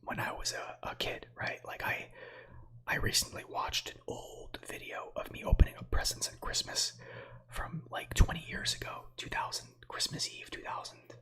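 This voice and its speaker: A man in his thirties whose first language is Slovak, whispering softly as an ASMR streamer who reads space facts with chatty, meandering asides to viewers. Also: normal speed. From United States. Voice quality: breathy whisper.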